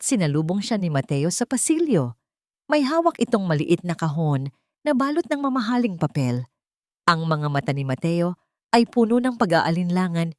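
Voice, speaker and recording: Female narrator for scripted drama stories, slightly low pitch, dry studio-like sound. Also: darkish tone, dry recording. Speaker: story narrator.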